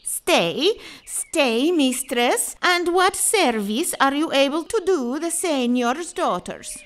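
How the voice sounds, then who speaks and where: Woman acting sharp-tongued governess, high, animated, imperious, jealous, archaic farce dialogue. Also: slow pace, exaggerated voice. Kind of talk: farcical period audio drama acting.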